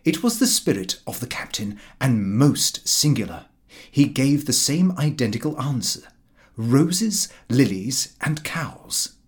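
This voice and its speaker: Lively, animated male audiobook narrator. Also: medium pitch, moderate pace. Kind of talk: expressive scripted narration of a comic diary.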